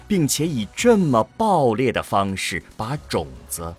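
Male narrator for sci-fi audio drama: solemn, theatrical, unhurried, with a highly expressive pitch range. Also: husky, soft background music.

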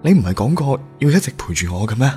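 A man's voice: medium pitch, sing-song, fast, warm tone, lightly acted. A man playing a devoted, lovelorn young groom in radio drama. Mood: heartbroken. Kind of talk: Cantonese radio drama acting. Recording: music under the voice.

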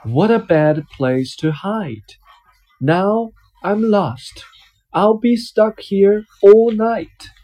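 A frightened little girl in a storybook speaks in a plaintive, raised voice. A man reads her part for a child. The tone stays husky and mellow. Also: high voice, drawn-out words, voice pushed up, exaggerated voice. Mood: dismayed.

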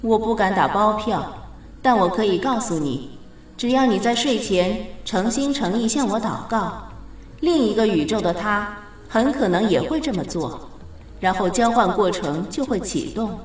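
A heavily echoing goddess of fate played by a woman speaks with calm authority and very varied intonation. She explains patiently, with faint music behind her in a radio drama. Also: medium pitch, distant mic, lightly acted. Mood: assured. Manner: measured, explanatory, gently authoritative. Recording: very echoey reverberant studio effect.

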